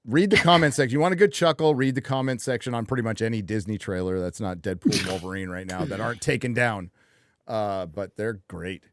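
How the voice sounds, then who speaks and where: A man's voice: on the low side, a little fast, no room sound. Laid-back man hosting a live stream. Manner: laid-back, reads out donations. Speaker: podcast host. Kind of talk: casual live-stream chat.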